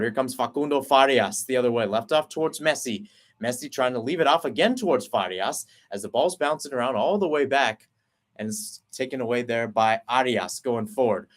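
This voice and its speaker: A man giving a live play-by-play call of a soccer match, rattling off player names and lifting his voice when the play heats up. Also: medium pitch. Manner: rapid running call, rising on chances. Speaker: play-by-play commentator.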